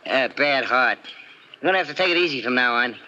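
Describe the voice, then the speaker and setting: Quick, sharp-voiced chummy little fellow. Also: male voice, mid-range voice, lively intonation. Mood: chatty, casual. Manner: informal, colloquial, chummy, hesitant starts. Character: small, slight, chatty man just recovered, casually cadging cigarettes. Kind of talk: 1940s radio drama acting. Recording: dull, noisy vintage radio recording.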